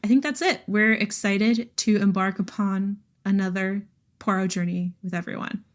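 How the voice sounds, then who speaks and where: A woman's voice: medium pitch, fairly even intonation, moderate pace. A woman co-hosting a podcast, chatting casually about books in a giggly, enthusiastic way. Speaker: podcast co-host. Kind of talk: casual book chat.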